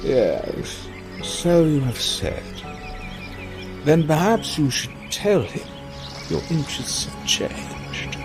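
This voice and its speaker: An actor playing a seductive, menacing villain in an audio drama, with a drawling, theatrical, teasing delivery. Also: male voice, on the high side, sing-song, slow, music in the background, exaggerated voice. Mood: taunting.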